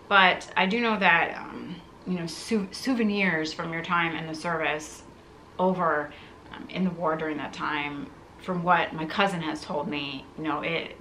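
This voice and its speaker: Casting: female vlogger, thirties, forward bright voice, informal musing delivery, faint room noise behind. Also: fairly low pitch, low-quality recording. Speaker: content creator, video host. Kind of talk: casual unboxing vlog, talking to camera. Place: living room, indoors.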